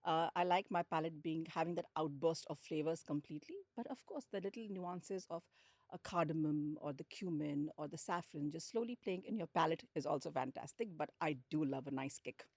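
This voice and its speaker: Low-voiced Indian-accented woman, close dry sound. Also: fairly quick, a little mellow, no room sound. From India, grew up in Lucknow and Hyderabad. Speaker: podcast guest, hospitality executive. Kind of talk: conversational interview storytelling.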